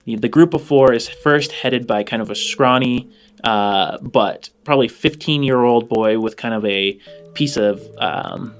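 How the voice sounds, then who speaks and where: A man narrating a tabletop game as game master, improvising in measured phrases with hesitant pauses. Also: fairly low pitch.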